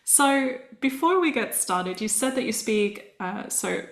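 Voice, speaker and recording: A woman's voice: a little high, expressive, a little slow, gravelly. A woman hosting an interview podcast, asking curious, reflective questions and thinking aloud. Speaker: podcast host. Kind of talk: conversational interview. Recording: roomy echo with faint music.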